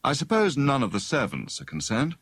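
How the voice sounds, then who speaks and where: A man voicing a genial, encouraging young gentleman amateur detective in an audiobook story, speaking in a breezy conversational way. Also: medium pitch, wildly swooping pitch, fairly quick, husky, no echo, heavily acted. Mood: considering.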